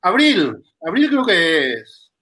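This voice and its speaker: A man in a casual panel discussion, speaking haltingly and repeating words as he thinks. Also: high voice, wildly swooping pitch, pushed and bright.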